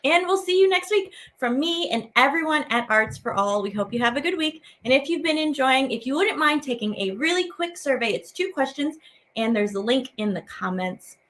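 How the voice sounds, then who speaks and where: High-pitched woman leading a playful kids' lesson. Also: expressive, normal speed, a bit bright. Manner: playful, encouraging, simple phrasing for children. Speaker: teaching artist hosting a children's program. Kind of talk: children's activity lesson, casual and direct to viewers.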